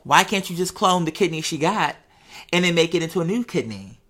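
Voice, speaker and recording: A woman with a fairly low voice talks off the cuff as a show host. She muses conversationally, posing rhetorical questions and checking that the listener follows along. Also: expressive, a little fast, a bit bright. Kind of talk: casual unscripted monologue to followers.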